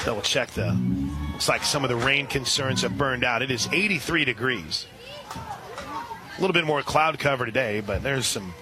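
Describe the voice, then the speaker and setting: A man doing live radio play-by-play for a softball game, chatting between pitches and shouting on big plays. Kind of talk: live radio sports commentary.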